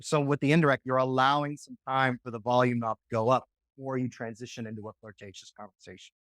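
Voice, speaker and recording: A man's voice: medium pitch, flat delivery, fast talker, fairly bright tone, no room sound. A man coaching on dating in a podcast interview, talking casually and often checking in with "right?".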